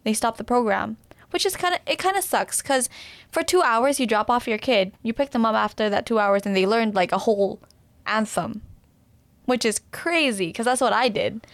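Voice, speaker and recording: A woman chatting casually and agreeably, quick to laugh and chime in. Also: fairly high pitch, no room sound. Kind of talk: casual chat.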